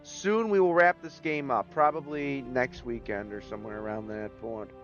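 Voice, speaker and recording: A man hosting a casual gaming stream, talking loosely and rambling, his voice hoarse and starting to crack. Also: mid-range voice, steady tone, normal speed, fairly bright tone, lo-fi sound, faint music behind. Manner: loose, rambling, signs off with jokey farewells. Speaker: stream host.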